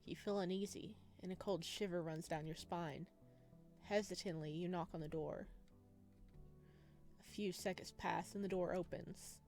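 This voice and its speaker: A woman's voice: lowish voice, fairly even intonation, moderate pace, a little mellow. A woman hosting a gaming video, reading visual novel narration aloud and adding casual asides. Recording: music playing behind the voice.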